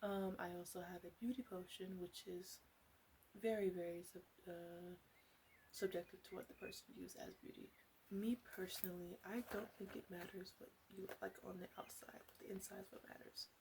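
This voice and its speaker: A woman playing a flirtatious witch who sells potions, rambling wryly and hesitantly in a soft ASMR roleplay addressed to the listener. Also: lowish voice, steady tone, normal speed, a little hiss or hum, close to an everyday voice, low-quality recording. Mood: playful, musing. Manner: hesitant, rambling, wry, with asides.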